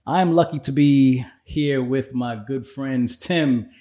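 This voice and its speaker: A man hosting a podcast interview, talking in a relaxed, slangy way and laughing easily with his guests. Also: mid-range voice, takes it slowly. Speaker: podcast host. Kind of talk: casual interview chat.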